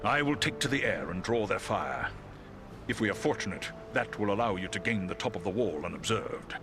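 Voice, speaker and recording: Deep, stately great eagle. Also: male voice, expressive, over music. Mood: grave, resolute. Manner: formal, stately, measured. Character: great noble talking eagle, grave and courteous. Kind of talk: video game cutscene acting.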